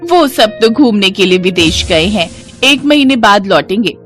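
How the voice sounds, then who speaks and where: A woman's voice: mid-range voice, lively intonation, normal speed, a bit bright, faint music behind, lightly acted. A woman voicing a chatty, hospitable older neighbour auntie in a cartoon family drama. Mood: friendly.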